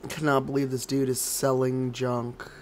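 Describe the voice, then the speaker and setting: A man's voice: mid-range voice, level tone, normal speed, a little mellow, slight background noise. A man streaming a game, congested and coughing, making wry grumbling asides. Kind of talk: casual gameplay commentary.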